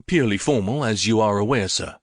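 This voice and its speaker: A man voicing a calm, courteous police inspector who speaks in a measured way. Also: lowish voice, no echo, studio quality, close to an everyday voice.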